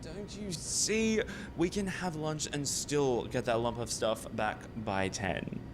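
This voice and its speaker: An actor playing an excitable, scheming, broke research scientist in a radio farce, incredulous and wheedling. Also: male voice, a little high, takes it slowly, over music. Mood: eager, persuasive.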